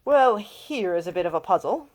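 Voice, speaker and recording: A woman voicing a composed, probing older gentleman, an uncle and lord who speaks formally and deliberately in an audiobook story. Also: medium pitch, expressive, voice pushed up, close to an everyday voice. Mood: polite, probing.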